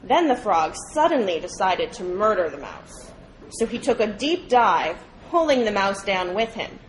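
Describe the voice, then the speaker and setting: A woman reads a story aloud at a live event. Her voice sits slightly high, and a faint noise runs behind the scripted narration. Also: poor audio. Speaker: reader at a public read-aloud. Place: live reading event before an audience.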